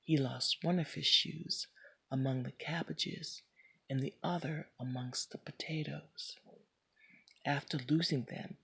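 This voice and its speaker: A woman reading a children's story aloud as scripted narration, in a measured storybook manner. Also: deep voice, steady tone, vocal fry, fairly bright tone, slightly rough. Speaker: storybook reader.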